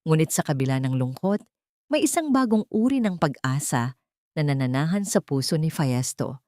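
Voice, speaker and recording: A woman's voice: low-pitched, lively intonation, normal speed, warm tone, dry recording, clean studio sound. A woman reading a scripted dramatic story as an audiobook narrator.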